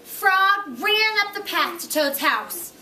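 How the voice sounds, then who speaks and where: High-voiced woman teacher, slow and prompting. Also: expressive, pushed and bright. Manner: repeats children's answers, prompting with questions. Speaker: elementary school teacher. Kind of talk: interactive read-aloud lesson for children. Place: classroom. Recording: echoey noisy classroom, far from mic.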